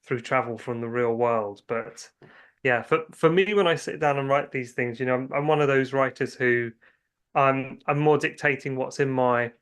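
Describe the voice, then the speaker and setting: A man's voice: mid-range voice, normal speed, fairly bright tone, clean tone. A man speaking as an interview guest, chatting casually about his creative work in rambling anecdotes with hesitant starts. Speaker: guest writer and filmmaker.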